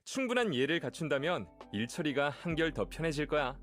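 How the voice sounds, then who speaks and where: An actor playing a friendly, courteous young manservant who loves animals, in scripted game voice lines. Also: male voice, on the high side, big pitch swings, racing, fairly bright tone, soft background music. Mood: polite, earnest.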